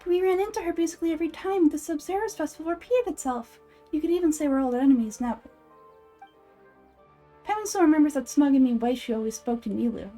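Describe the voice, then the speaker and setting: A woman voicing a small, chirpy, childish girl companion in an anime game fan dub, blunt, pushy and excitable. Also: high voice, fast talker, soft background music, lightly acted. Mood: excited. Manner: speaks of self in third person, pushy, excitable.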